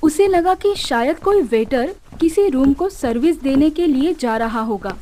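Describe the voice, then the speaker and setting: A woman's voice: sits high, animated, unhurried, mellow, a little hiss or hum. A woman narrating a scripted horror story as a storyteller. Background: music.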